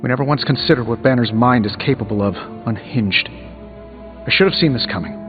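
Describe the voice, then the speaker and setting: A man playing a brooding, combative superhero inventor in a video game, sharp and sarcastic in manner. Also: mid-range voice, normal speed, soft background music, lightly acted. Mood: bitter, brooding. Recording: dull game audio, long reverb.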